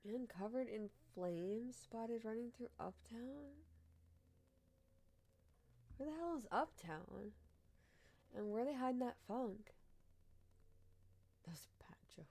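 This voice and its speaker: Casual young woman with a deep voice. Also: lively intonation, takes it slowly, pauses often, a little mellow. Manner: blunt, swears, laughs often, comments wryly on headlines. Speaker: streamer reading odd news. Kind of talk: casual live-stream chat.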